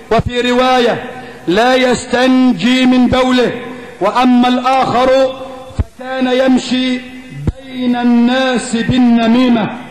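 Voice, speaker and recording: Impassioned Egyptian male preacher, very high-pitched. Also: poor audio. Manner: emphatic rhetorical delivery with repeated phrases and questions. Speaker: preacher giving a Friday sermon. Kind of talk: impassioned Islamic sermon.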